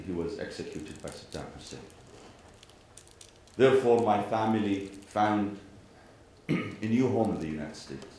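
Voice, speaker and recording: A man with an Iraqi Arabic accent, an imam giving a formal public address in deliberate, measured phrases. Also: sits low, darkish tone. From Iraq and Kuwait. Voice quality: frequent throat clearing. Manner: deliberate, measured phrasing with pauses and repeated parallel sentences. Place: large indoor venue, speaking to a gathering. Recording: very echoey hall, faint background noise.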